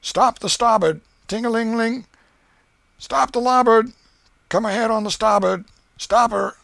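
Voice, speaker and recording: Man acting loud, high-pitched boy playing steamboat captain. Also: unhurried, vocal fry, no echo, full character voice. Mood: excited, commanding. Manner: shouting commands and imitating bells and engines.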